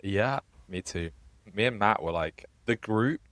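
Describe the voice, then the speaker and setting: A man's voice: on the low side, animated, measured pace, a bit bright, no room sound. A young man co-hosting a podcast, talking in a casual, conversational way. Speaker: podcast co-host. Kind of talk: casual chat.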